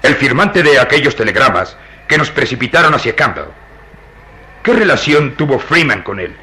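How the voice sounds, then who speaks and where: A sharp, forceful male voice swinging widely in pitch as a police inspector in a radio serial. He is commanding and theatrical, pressing hard with every question. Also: big pitch swings, normal speed. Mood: insistent. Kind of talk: Latin American radio drama acting.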